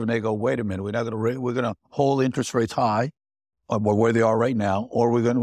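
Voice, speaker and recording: A man's voice: medium pitch, darkish tone, dry recording, clean studio sound. A man speaking as an economist on a panel, explaining points and often restarting his phrases. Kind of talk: panel discussion.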